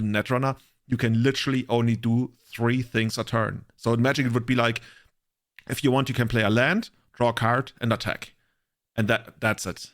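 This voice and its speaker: A man's voice: medium pitch, fairly bright tone. A man co-hosting a casual podcast chat, rambling and joking, with many filler words. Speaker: podcast co-host.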